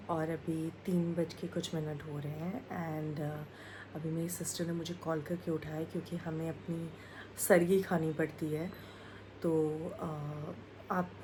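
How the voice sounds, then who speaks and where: A woman's voice: lowish voice, a little hiss or hum. A young woman from Bihar vlogging casually to camera, chatty and full of fillers, with a sore throat. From Bihar, India. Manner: chatty, mixes English into Hindi, many fillers. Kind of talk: casual vlog chat to camera.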